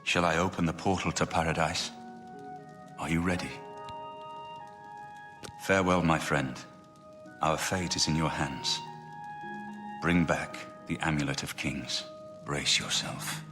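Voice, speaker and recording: An actor playing a wise, solemn mage ally in a fantasy game, speaking in a grave, measured, earnest way. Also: male voice, extremely low pitch, steady tone. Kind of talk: scripted fantasy game dialogue. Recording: music behind the voice.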